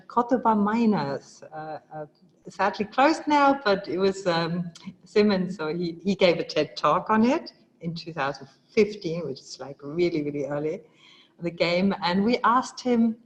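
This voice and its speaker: A woman's voice: mid-range voice, poor audio. Enthusiastic woman hosting a webinar. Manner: enthusiastic, many fillers and restarts. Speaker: webinar host. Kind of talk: live webinar presentation. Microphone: video call.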